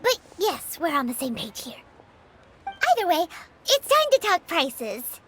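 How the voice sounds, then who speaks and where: A woman voicing a tiny, bossy, excitable, childlike fairy companion in video game dialogue. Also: extremely high pitch, measured pace, a little hiss or hum, full character voice. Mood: chirpy, businesslike. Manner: speaks of herself in third person.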